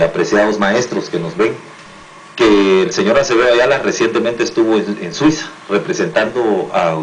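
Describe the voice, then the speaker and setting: A man in his fifties with a Guatemalan accent, speaking formally and deliberately as a union spokesman in an interview. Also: on the low side, slight background noise, poor audio. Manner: formal, deliberate, legalistic wording. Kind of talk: political commentary in an interview.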